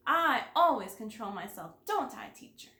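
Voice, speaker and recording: Lady doing a smug prissy little girl, storybook, high voice, boasty, roomy. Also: very animated, moderate pace, bright, forceful tone, full character voice.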